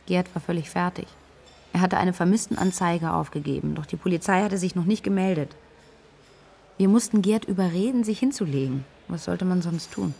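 Husky, creaky worried young woman. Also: on the low side, brisk, darkish tone. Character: worried, candid young woman, the missing woman's best friend. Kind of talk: interview-like radio drama narration.

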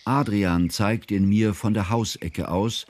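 An educated narrator in a literary radio drama. His voice sits on the low side. He speaks formally and fondly, with ornate, measured phrasing. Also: steady tone, darkish tone. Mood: reflective. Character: educated male narrator, fondly observant and ceremonious.

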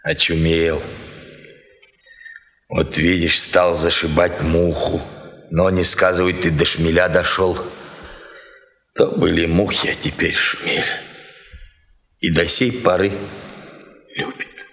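Slow, low-voiced gossiping peasant man. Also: fairly even intonation, a bit bright, exaggerated voice. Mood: knowing, pitying. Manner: folksy, sly, drawn-out telling with repeated words. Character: garrulous gossiping peasant man telling a tale over drinks. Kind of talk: dramatized literary storytelling in rustic folk speech. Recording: dull, echoey hall-like reverb.

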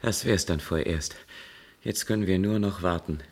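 A man playing a calm, dutiful control room officer in a German science fiction radio drama, speaking matter-of-factly. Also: low-pitched, moderate pace. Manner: matter-of-fact.

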